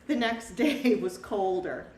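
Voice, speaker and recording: A woman reading a picture book aloud to young children, pausing to explain things simply and ask them questions. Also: medium pitch, lively intonation, a little mellow. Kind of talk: storybook read-aloud with asides to young children. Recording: echoey room, distant mic, faint noise.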